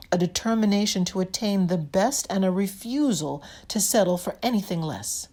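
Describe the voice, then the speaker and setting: Female actor to read a commemorative speech: fairly low voice, deliberate, dramatic delivery over faint guitar. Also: darkish tone, slightly rough.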